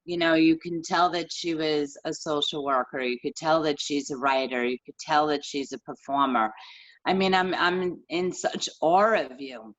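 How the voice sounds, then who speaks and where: A woman asking an interview question, admiring and halting, often restarting her sentences. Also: sits low, dull audio. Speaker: guest interviewer. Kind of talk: casual interview chat.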